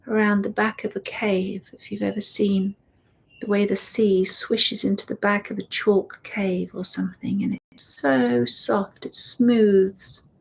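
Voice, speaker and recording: A woman guiding a meditation, speaking softly and soothingly and often dropping into a whisper. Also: medium pitch, slightly rough, low-quality recording. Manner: slow, soft and soothing, drifting into whispers. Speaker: meditation guide. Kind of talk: guided meditation.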